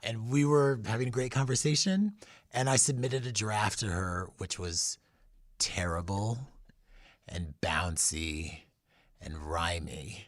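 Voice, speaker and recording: A man's voice: fairly low pitch, steady tone, slow, dry recording, clean studio sound. A man speaking as a guest author in an interview, telling casual stories and acting out bits of what was said. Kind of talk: casual conversational storytelling.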